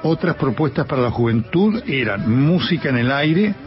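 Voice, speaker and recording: Man narrating a radio history. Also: mid-range voice. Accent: Argentine Spanish. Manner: measured, even reading. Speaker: journalist narrator. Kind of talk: scripted documentary narration. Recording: slightly dull sound with music underneath.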